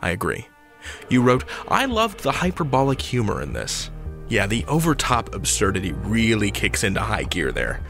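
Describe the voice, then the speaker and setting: Casting: male countdown-podcast host, very lively swooping delivery, mid-pitched, playful and theatrical, some music around the voice. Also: normal speed, vocal fry. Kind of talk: chatty podcast countdown and commentary.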